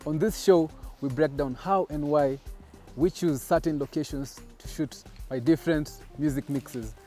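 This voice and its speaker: A young man with a Kenyan accent hosting his own show as a DJ, talking in energetic, slangy hype. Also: fairly high pitch, measured pace. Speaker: DJ and show host. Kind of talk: hype vlog presenting. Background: music playing.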